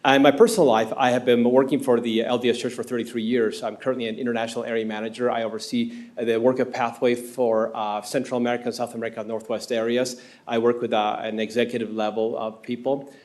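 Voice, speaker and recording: Argentine accent guy in his 50s, council candidate, kinda halting, lots of uh's, mid pitch, at a podium mic. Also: level tone, darkish tone. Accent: Argentine Spanish. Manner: earnest, halting with frequent uh fillers. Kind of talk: answering an interview question at a public meeting. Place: city council chamber. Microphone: podium microphone.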